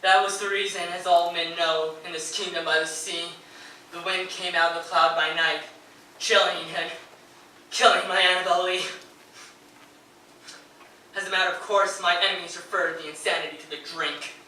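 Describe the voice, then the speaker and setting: A man's voice: on the high side, level tone, moderate pace, pauses often, pushed and bright. A man playing a grief-stricken, nervous poet mourning his wife, reading fervently and theatrically on stage. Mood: bitter grief. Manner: fervent, declamatory, swelling into anguished outbursts. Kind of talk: theatrical dramatic reading of poetry and letters. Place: live performance in a radio station's performance room. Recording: very echoey live room, distant mic, faint noise.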